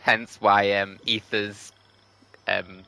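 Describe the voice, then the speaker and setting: A man's voice: lowish voice, flat delivery, drawn-out words, creaky, pushed and bright, smooth, low-quality recording. A man livestreaming a video game, reacting casually as he plays. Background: game music.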